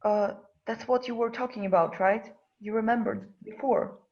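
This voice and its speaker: A woman's voice: on the high side, fairly even intonation, moderate pace, close to an everyday voice. A woman in a script table read playing an anxious, curious young woman, with hesitant, questioning delivery. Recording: dull lo-fi sound.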